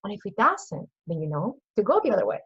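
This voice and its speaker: Guest coach, woman with a Peruvian accent, earnest, mid pitch, muffled audio. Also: sing-song, quick pace, a bit bright, husky. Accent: Peruvian Spanish. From Peru. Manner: earnest, repeats phrases, acts out inner voices. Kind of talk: casual interview chat.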